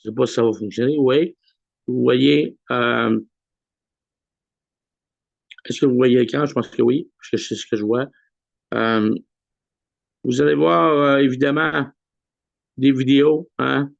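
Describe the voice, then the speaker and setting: A man with a Québécois accent hosting a weekly video call in a relaxed way, with many pauses and filler sounds. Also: mid-range voice, gravelly, no echo. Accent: Québécois French. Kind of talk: casual live address on a video call. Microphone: computer mic on a video call. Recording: dull lo-fi video call audio.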